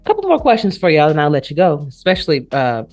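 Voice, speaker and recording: An animated woman hosts a casual talk show. Her pitch moves around freely as she puts questions to a panel of guests. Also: quick pace, soft background music.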